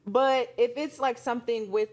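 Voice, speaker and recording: A woman's voice: a little high, fairly even intonation, normal speed, darkish tone. An American woman chatting casually on a talk show panel in a playful, colloquial way.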